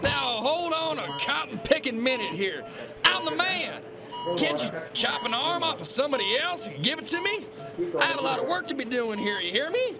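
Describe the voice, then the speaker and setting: A man's voice: very high voice, very animated, moderate pace, over loud music, heavily acted. A man playing a selfish, blustering boss in a satirical play, with a folksy, boastful, stammering manner. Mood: panicked, indignant. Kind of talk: satirical audio play acting.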